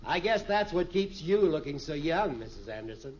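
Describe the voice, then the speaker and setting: A man playing a genial, flattering middle-aged American businessman in 1950s radio sitcom style, on a muffled recording. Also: a little high, moderate pace. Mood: jovial admiring. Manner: effusive, jovial.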